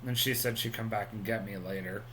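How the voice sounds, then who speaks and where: A man's voice: lowish voice, fairly even intonation, brisk, a bit bright, slight background noise, low-quality recording. A man in his thirties talking casually on his solo vlog at home, rambling with many ums and restarts. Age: 30s. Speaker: vlogger.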